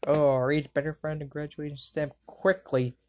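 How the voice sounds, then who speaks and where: A woman voicing a jokey, excitable young boy wizard in a cartoon fan dub, cracking wisecracks and yelping exclamations. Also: sits low, moderate pace, natural voice.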